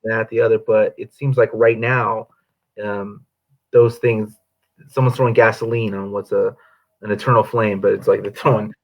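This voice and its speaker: A man's voice: on the low side, little pitch movement, normal speed, rough recording. A man hosting an interview over a video call, outspoken and emphatic in his talk. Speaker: host. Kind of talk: casual interview.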